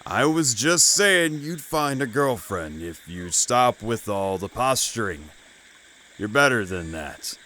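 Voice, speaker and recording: A man's voice: fairly low pitch, very animated, slow, bright, forceful tone, a little hiss or hum, exaggerated voice. A man playing an easygoing, teasing older companion in an audio drama, laid-back and ribbing. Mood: easygoing, chiding. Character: teasing, easygoing old man, mentor-like friend.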